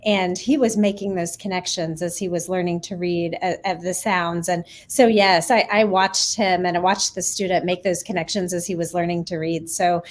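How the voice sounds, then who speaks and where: A woman's voice: on the low side, fairly even intonation, normal speed, few pauses, slight background noise. A woman educator on a literacy panel, who speaks earnestly from her own classroom experience. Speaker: educator guest. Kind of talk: panel discussion.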